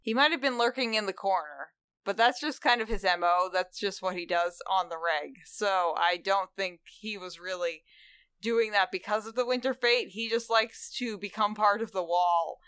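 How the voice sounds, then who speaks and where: A woman's voice: medium pitch, moderate pace, fairly bright tone, smooth, no echo. Brief: female podcast co-host, gushing and upbeat, dry close mic. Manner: enthusiastic, gushing. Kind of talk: casual chat.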